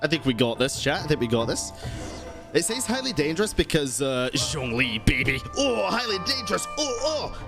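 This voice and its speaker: A man gives casual live commentary as he streams a game. His voice sits a little high and swings widely as he reacts with slangy exclamations. Music plays behind him. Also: very animated, normal speed.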